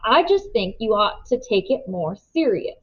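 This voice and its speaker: A woman's voice: medium pitch, very animated, measured pace, breathy edge, dull audio. A woman voicing a serious, cautious older brother in a storybook, earnest and folksy. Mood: stern, warning.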